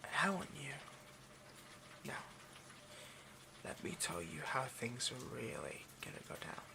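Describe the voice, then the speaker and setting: A possessive, wealthy male vampire, acted by a man, speaks slowly with a gravelly creak. He is condescending and domineering, hushed and often whispering, as in an intimate ASMR roleplay. There is clear noise in the recording. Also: mid-range voice, big pitch swings. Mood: menacing, commanding.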